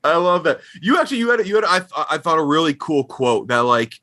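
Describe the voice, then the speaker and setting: Bright-voiced man interviewing casually. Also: on the high side, very animated, brisk. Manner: fannish, informal, rambling questions. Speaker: podcast interviewer.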